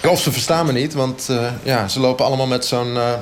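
A man playing a blunt, oblivious fitness coach who explains things haltingly and matter-of-factly in a mock radio interview. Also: mid-range voice, steady tone, a little hiss or hum, lightly acted. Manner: halting, hesitant, matter-of-fact.

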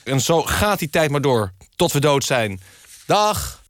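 A man hosting a satirical radio magazine in a mock-serious, deadpan and halting way. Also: on the high side. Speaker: radio show host. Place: radio studio. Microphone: close studio microphone.

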